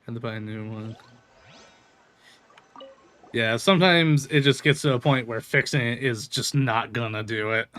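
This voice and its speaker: A man's voice: medium pitch, moderate pace, pauses often, a bit bright. A man streaming a video game, chatting casually in an informal, rambling way. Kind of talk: casual livestream chat over gameplay. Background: game music.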